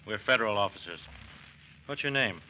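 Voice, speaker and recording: An actor playing a steady, methodical FBI agent in an old radio crime drama, measured, polite but firm. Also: male voice, lowish voice, muffled recording, close to an everyday voice. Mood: firm questioning. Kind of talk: 1940s-50s radio crime drama acting. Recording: noisy vintage radio drama recording.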